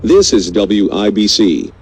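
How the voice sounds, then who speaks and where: A man playing a radio news anchor, reading a scripted crisis bulletin in a formal, measured way. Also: lowish voice, sing-song, full character voice, rough recording. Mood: grave, urgent. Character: male radio news anchor covering an unfolding invasion crisis.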